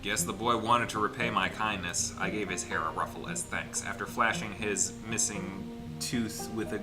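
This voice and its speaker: A man's voice: fairly low pitch, moderate pace, low-quality recording. A man in a casual let's play, reading visual novel text aloud and joking as he goes. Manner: joking, animated, breaks into laughter. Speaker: gaming channel player reading game aloud. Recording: music behind the voice. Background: game music.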